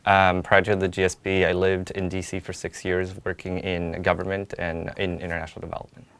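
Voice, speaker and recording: Smooth, deep-voiced young American man. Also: monotone, brisk, fairly bright tone. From California, United States. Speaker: MBA student panelist. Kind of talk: informal panel answers.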